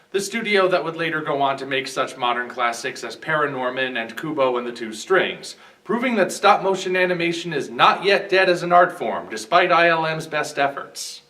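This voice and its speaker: A man's voice: on the high side, expressive, moderate pace, sharp tone, recorded from a distance. A man giving scripted commentary as a guest film reviewer.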